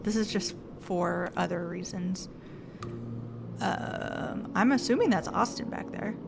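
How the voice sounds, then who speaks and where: A woman's voice: fairly low pitch, takes it slowly, gravelly, noisy background. A woman streamer casually thinking aloud while playing a game and reading text off the screen. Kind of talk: casual let's-play commentary. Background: game music.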